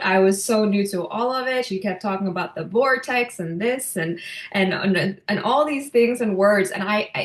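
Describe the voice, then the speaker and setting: A woman's voice: medium pitch, echoey room. A woman who grew up in Norway, telling her story earnestly as a podcast guest. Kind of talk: podcast interview.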